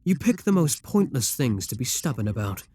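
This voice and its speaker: A man's voice: mid-range voice, very animated, normal speed, warm tone, slightly rough. A man playing a proud, seductive demon king in an ASMR roleplay, speaking in an imperious, courtly and theatrical manner. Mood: indignant, haughty.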